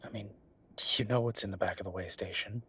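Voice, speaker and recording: A man's voice: lowish voice, steady tone, brisk, fairly bright tone, breathy edge. A man playing an affectionate, teasing werewolf bureaucrat in an intimate romantic audio roleplay, speaking conversationally with murmured asides. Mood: wry, confiding. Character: Viktor, affectionate teasing male werewolf bureaucrat, weary but playful.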